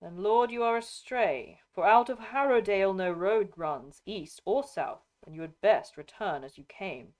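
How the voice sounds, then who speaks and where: A woman's voice: medium pitch, normal speed, lightly acted. Impassioned, proud young noblewoman warrior, voiced by a woman reader. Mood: grave, dismayed. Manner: formal archaic phrasing, fervent. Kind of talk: dramatic audiobook character reading.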